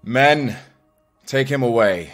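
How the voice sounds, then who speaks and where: A man's voice: sits low, takes it slowly, over music. A man playing a dutiful, heartbroken young lord and human hero, earnest and formal, in a fantasy audio drama. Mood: stern, commanding.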